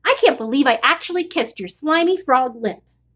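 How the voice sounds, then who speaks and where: Woman acting a nagging high-voiced storybook princess. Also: lively intonation, normal speed, fairly bright tone. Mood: fed up. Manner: scolding, complaining.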